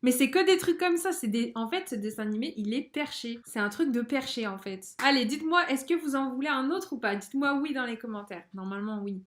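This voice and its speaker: High-voiced young woman, slangy. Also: fairly quick, few pauses. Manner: slangy, expressive, amused, spells out internet abbreviations. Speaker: livestream host reacting to a cartoon. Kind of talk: casual reaction chat.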